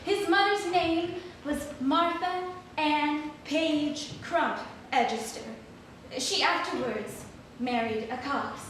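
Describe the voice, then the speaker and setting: Slow, high-voiced actress as solemn daughter. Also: female voice, fairly bright tone. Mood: solemn, deliberate. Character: solemn daughter searching for her father's scattered relatives. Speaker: stage reader. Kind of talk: dramatic reading of historical letters. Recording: very echoey hall, distant, faint noise.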